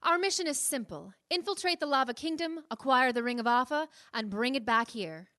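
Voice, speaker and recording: An actress playing a proud, no-nonsense anthropologist adventurer, assertive and precise, in a sci-fi audio drama. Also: female voice, high-pitched, animated, brisk, bright, forceful tone, no echo, clean studio sound. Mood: briefing.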